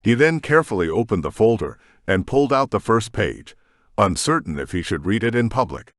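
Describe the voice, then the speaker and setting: A man narrating a science fiction audiobook in a steady storytelling manner. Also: fairly low pitch, fairly quick, no room sound, clean studio sound. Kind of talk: scripted narration.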